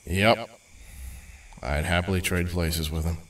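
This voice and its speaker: A man's voice: deep voice, measured pace, distant mic. A determined young hero played by a man talks casually and plainly, with a stubborn streak. The audio drama voice is recorded slightly away from the mic.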